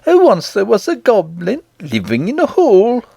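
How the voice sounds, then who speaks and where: A man's voice: very high-pitched, very animated, normal speed, darkish tone, no echo, studio quality, pitched above the performer's own voice. A man voicing a cheeky, defiant boy in an impudent, sing-song storybook manner. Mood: taunting. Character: cheeky, defiant boy who taunts goblins in rhyme.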